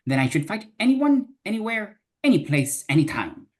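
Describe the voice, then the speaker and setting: A man's voice: sits high, sing-song, normal speed, darkish tone. An actor in an online dramatic reading playing a brave, boastful little male fox knight who talks in old chivalrous speech. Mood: indignant. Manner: archaic chivalrous speech, blustering. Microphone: video call.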